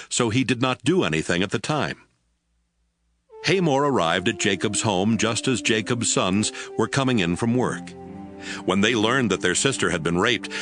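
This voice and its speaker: A man giving scripted narration of scripture as the narrator of an audio Bible. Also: mid-range voice. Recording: music bed under the narration.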